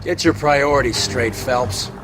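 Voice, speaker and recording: A man's voice: medium pitch, measured pace, over music, natural voice, poor audio. An actor playing an impatient police detective in a video game, scolding his partner.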